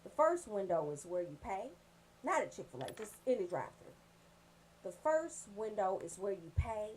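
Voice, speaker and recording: A woman's voice: mid-range voice, slight background noise, poor audio. A woman doing a casual, comedic rant as a radio host, in a brash, profane, storytelling way.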